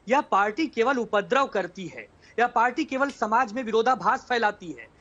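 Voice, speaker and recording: A man's voice: very high-pitched, expressive, moderate pace, a bit bright. A man on a dull, muffled feed debates as a political spokesman. His delivery is combative and accusatory, built on repeated phrases.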